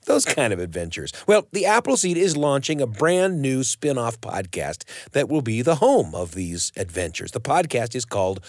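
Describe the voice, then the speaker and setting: Animated man hosting a storytelling show. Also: mid-range voice, normal speed, hardly any pauses, no echo, clean studio sound. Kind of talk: scripted, upbeat radio introduction.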